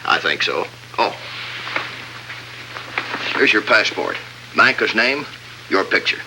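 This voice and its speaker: An actor playing a brisk, no-nonsense desert lieutenant, plain-spoken and matter-of-fact, in 1950s radio drama style. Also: male voice, fairly low pitch, big pitch swings, heavily acted. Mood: doubtful, businesslike. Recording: noisy old radio drama recording.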